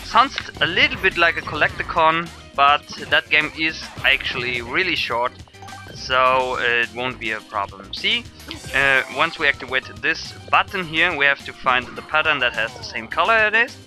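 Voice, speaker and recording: Bright-voiced German man narrating gameplay. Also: mid-range voice. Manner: rambling, hesitant with many fillers. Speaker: let's player. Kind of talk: casual gameplay commentary.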